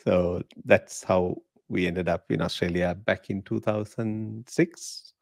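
A middle-aged man from Sri Lanka, a guest on a podcast video call, talking in a relaxed, chatty way with frequent laughs. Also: sits low, slow pace, creaky, no echo, clean studio sound. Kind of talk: casual interview chat. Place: home living room. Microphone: podcast mic in frame, video call.

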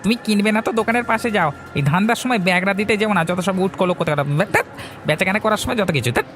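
Cartoon hawker, male actor: greedy, rude young fishmonger, pushy, fast, sharp and bright. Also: sits high. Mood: irritated, rude. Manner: pushy hawker's patter, snappish. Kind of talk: Bengali cartoon voice acting. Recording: music behind the voice.

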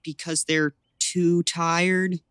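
A woman's voice: fairly low pitch, little pitch movement, very slow, a bit bright, clear, resonant tone, no echo. Female actor, young boy, earnest, literal-minded, dry manner, audiobook dialogue, close dry sound. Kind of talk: full-cast audiobook acting.

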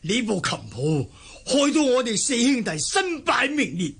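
An actor with a Hong Kong accent playing a weary, dignified old martial-arts recluse in a radio drama, mournful and halting. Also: male voice, very high-pitched, sing-song, a bit bright, slightly rough, exaggerated voice. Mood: bitter, resigned. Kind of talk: Cantonese radio drama acting. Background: music.

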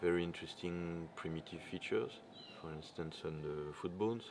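A man's voice: low voice, moderate pace. A man in his forties from France with a French accent, answering interview questions as an archaeologist. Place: open cave mouth at a dig site. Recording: noisy outdoor field recording.

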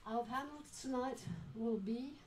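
A woman moderating a live panel talk, introducing guests and asking questions in a measured, explanatory way with many pauses. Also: a little high, heavy background noise. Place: small dim venue with live audience. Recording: echoey room recording.

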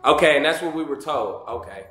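Young male prank-call host, roomy room sound with music underneath, vague and halting official patter. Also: on the high side, expressive, fairly bright tone. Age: young adult. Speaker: host making prank phone calls. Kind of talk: casual prank call posing as a booking agent. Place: wood-panelled room indoors.

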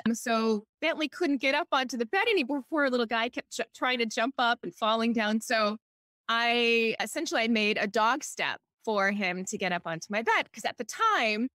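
A middle-aged woman with a slightly high voice tells stories in a casual, chatty, enthusiastic way. The recording is close and dry, like a podcast guest's. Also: sharp tone, no room sound. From Honolulu, Hawaii. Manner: chatty and enthusiastic, laughs often. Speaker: podcast guest, entrepreneur and author. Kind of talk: casual conversational storytelling.

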